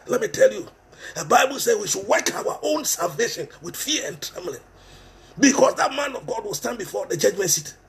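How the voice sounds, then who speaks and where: A man's voice: very high-pitched, gravelly. A middle-aged man with a Ghanaian accent preaching a sermon with passion, repeating phrases for emphasis and switching between English and Twi, recorded on a headset mic in a small room.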